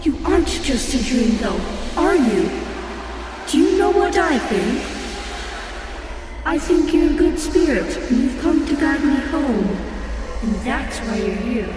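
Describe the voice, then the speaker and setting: A woman voicing a lonely, earnest little boy with a small childlike voice and a slow, hesitant, pleading delivery in a fantasy audio drama. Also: sits high, very animated, over music. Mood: anxious hope. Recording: distant, cavernous reverb with noise.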